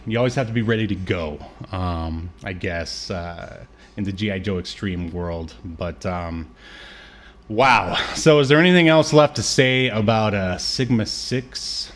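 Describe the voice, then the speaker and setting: A man with a fairly low voice hosts a casual review chat. He speaks informally, feeling his way through sentences in a halting, hesitant manner. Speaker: podcast co-host.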